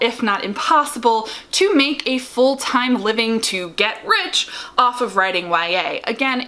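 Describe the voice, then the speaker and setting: A woman's voice: on the high side, bright, forceful tone. A woman vlogger giving opinionated advice to her viewers in an informal, wry, emphatic way, stressing key words. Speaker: YouTube host, writing advice vlogger. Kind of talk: opinionated advice vlog to camera.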